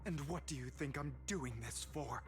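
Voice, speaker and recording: An actor playing a proud, troubled young avenger in a video game, with intense theatrical phrasing. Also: male voice, a little high, moderate pace, over music, lightly acted. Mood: bitter, defensive. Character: proud, troubled young male avenger haunted by his bloodline.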